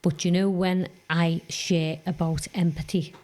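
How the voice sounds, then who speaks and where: A woman's voice: on the low side, flat delivery, unhurried, warm tone. A woman in her fifties, a youth mentor, talking earnestly and telling stories in a podcast conversation. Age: 50s. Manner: earnest, storytelling, emphatic about goals.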